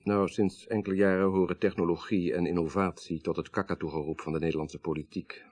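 Very deep, husky professor, dryly ironic. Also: male voice, flat delivery, a little fast, a little mellow. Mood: lecturing. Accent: Netherlands Dutch. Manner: precise, lecturing, dryly ironic. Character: grieving, articulate male professor, child of a murdered minister. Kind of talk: Dutch radio crime drama acting.

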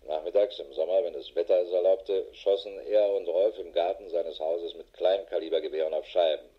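An actor playing a harried, middle-aged police investigator in a radio drama, matter-of-fact and dry. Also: male voice, sits low, fairly even intonation, brisk, breathy edge, lo-fi sound. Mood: sober, dictating. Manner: matter-of-fact, dry, clipped official phrasing. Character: harried, world-weary male police investigator with a wife. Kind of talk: German radio drama acting, dictated report.

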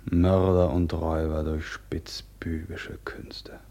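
An actor playing an anguished noble young outlaw in a radio drama, brooding and declamatory. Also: male voice, a bass voice, little pitch movement, slow, vocal fry, mellow, heavily acted. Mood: bitter anguish. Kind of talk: classic German radio drama acting.

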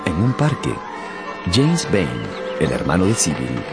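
A man narrating a radio drama as a scripted story, theatrically and with deliberate pauses. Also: deep voice, wildly swooping pitch. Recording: music behind the voice.

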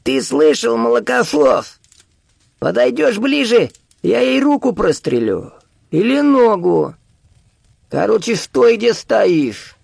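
Man acting a high-strained, snappish old man. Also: sing-song, gravelly, a little mellow, husky, no echo, full character voice. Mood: threatening. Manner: snappish, threatening, drawn-out words. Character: nervous, aggressive old male teacher holding a gun.

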